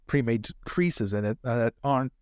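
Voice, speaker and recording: A man's voice: mid-range voice, moderate pace, a little mellow, clear, resonant tone, no room sound, studio quality, lightly acted. A man improvising a fussy, chatty, drily teasing bodyguard and former fencing professor in a tabletop roleplay. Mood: fussy, domestic.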